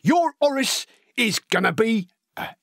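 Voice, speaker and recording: A man playing an excitable, high-pitched, gullible teenage lad. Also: a little slow, vocal fry, heavy background noise, heavily acted. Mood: excited, outraged. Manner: excitable, earnest, colloquial storytelling. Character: eager, gullible teenage lad itching to be a soldier. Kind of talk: acted children's audiobook monologue.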